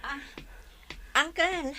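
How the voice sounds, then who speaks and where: A woman's voice: sits high, drawn-out words, sharp tone, exaggerated voice. An actress with an Ilocano Filipino accent playing a polite, hesitant, worried young girlfriend in a radio drama.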